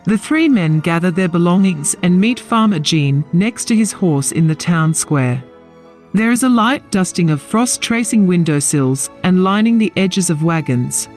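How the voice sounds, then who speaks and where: A male narrator with a rather high voice. He reads scripted fantasy scenes with lively, varied intonation, and soft music plays behind him.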